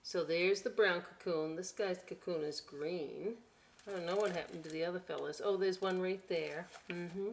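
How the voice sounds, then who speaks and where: A woman hosting a storytime for young children, reading expressively with drawn-out words and chatty, encouraging asides. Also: on the low side, steady tone, a little hiss or hum. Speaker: children's library storytime host.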